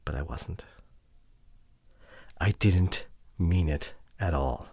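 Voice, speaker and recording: A man playing a regretful, wounded boyfriend pleading with his partner in an ASMR audio drama, halting and emotional, often dropping to hushed tones. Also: low voice, breathy edge, no room sound, heavily acted. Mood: remorseful, subdued.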